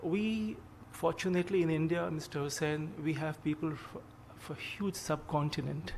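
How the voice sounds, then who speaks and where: A man's voice: a little high, steady tone, creaky, a little mellow, a little hiss or hum. Courteous older man giving a keynote. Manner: measured, courteous, with frequent pauses. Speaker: keynote speaker, retired judge. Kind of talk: formal keynote address.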